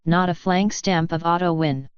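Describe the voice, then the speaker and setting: A woman's voice: fairly low pitch, fairly even intonation, normal speed, smooth, no echo, dull audio, natural voice. A woman voicing a knowledgeable female unicorn mage who teaches patiently in an audiobook story. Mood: explanatory.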